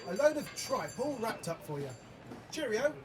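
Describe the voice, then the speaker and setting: Man playing a chatty high-voiced British shopkeeper. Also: sing-song, moderate pace, very noisy. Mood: friendly, gossipy. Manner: chatty, colloquial. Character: kindly, gossipy working-class male shopkeeper.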